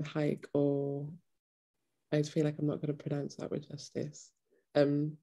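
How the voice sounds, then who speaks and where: A young Welsh woman moderating an online panel. Her voice is creaky and mellow, with little rise and fall. She talks informally and hesitantly, on a video call from home. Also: low-pitched. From Wales. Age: young adult.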